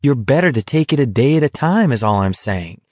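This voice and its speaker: A man's voice: medium pitch, unhurried, warm tone, no echo, close to an everyday voice. A man voicing an earnest, exasperated young man arguing insistently in an audiobook. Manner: insistent, emphatic, pleading. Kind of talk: audiobook character dialogue.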